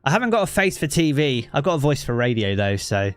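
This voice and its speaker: A man with a southeast English accent talks easily with his stream chat, wandering through thoughts with plenty of fillers. His voice sits at an ordinary middle pitch. He is recorded on a close streaming mic in a living room, with a dry sound and no room echo. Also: very animated, quick pace, soft background music. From southeast England. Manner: chatty and easygoing, thinks aloud with many fillers. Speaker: game streamer. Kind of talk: casual live-stream chat, reading chat aloud. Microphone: streaming mic with effects.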